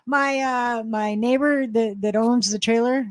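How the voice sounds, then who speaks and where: A woman's voice: fairly high pitch, steady tone, a little slow, no echo, studio quality. A middle-aged woman, chatting casually on a live panel. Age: 50s or older.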